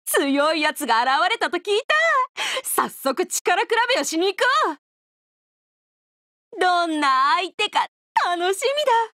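A woman voicing a bold, hard-drinking female oni brawler with cocky, easygoing, rough tomboyish speech for a video game. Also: extremely high pitch, expressive, a little slow, pushed and bright, dry recording, studio quality, heavily acted. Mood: eager, combative. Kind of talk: video game character voice lines.

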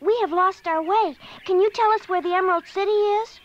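A man's voice: very high voice, a little fast, lo-fi sound, noisy background, voice pushed up. Man doing an earnest young girl.

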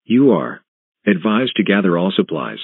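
Deep tinny male emergency alert announcer. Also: very animated, normal speed, no room sound. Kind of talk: scripted emergency broadcast announcement. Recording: tinny phone-like band, muffled husky sound.

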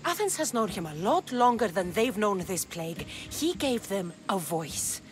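A woman plays a tough warrior hero in a game cutscene, direct and determined, with a lively, shifting pitch. Game music plays underneath. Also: normal speed, natural voice. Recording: game score playing behind.

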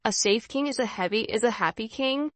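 A woman's voice: fairly high pitch, brisk, lightly acted. A woman voicing a friendly, encouraging schoolgirl who leads a chess class in a visual novel, in an instructive manner. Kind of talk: reading visual novel dialogue aloud.

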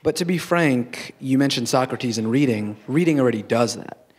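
Young male panelist, frank and measured, handheld mic on stage. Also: medium pitch, animated, slightly rough. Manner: frank, measured, reasoning aloud. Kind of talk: panel discussion. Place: stage in a large auditorium.

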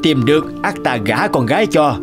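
An actor voicing a stern, scheming middle-aged lord in an animated folk tale, haughty and menacing. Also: male voice, a little high, normal speed, music in the background, lightly acted. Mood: commanding.

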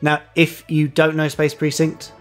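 A man's voice: on the high side, completely flat delivery, a little slow. Playful male podcast co-host over music. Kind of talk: casual scripted-loose banter.

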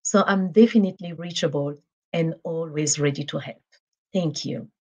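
Middle-aged woman from Cameroon, English; low-pitched, warm, searches for words; webcam call. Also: normal speed, lo-fi sound. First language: French. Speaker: guest. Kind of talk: casual video call interview. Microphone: video call webcam.

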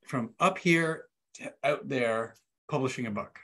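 A man's voice: medium pitch, unhurried, sharp tone. A man in his forties hosting a webinar over a video call, talking in an informal, conversational way. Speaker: webinar host. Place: indoor room with bookshelves, on a video call. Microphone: video call microphone.

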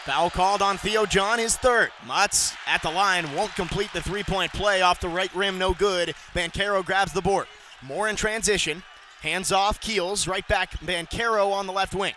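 A young man calling radio basketball play-by-play, rattling off plays and shouting on big moments. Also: sits high, slight background noise. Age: young adult. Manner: rapid excited calls, shouting on big plays. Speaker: play-by-play announcer.

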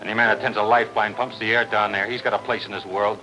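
An American actor playing a gruff, protective diver's helper in a 1950s crime drama, blunt and combative. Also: male voice, lowish voice, level tone, fast talker, close to an everyday voice, rough recording. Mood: belligerent. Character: gruff, protective male diver's lifeline tender. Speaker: supporting actor. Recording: 1950s TV film soundtrack, faint music.

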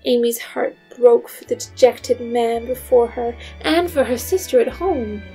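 A woman's voice: on the high side, a little slow, mellow. A woman narrating an audio drama in a scripted storytelling style. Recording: music underneath.

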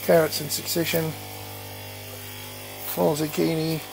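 A man giving a casual, halting tour of his backyard garden, filmed on a handheld phone outdoors. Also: a little high, flat delivery, gravelly, background noise. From Australia. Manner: slow, halting, with frequent ums and uhs. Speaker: gardening channel host.

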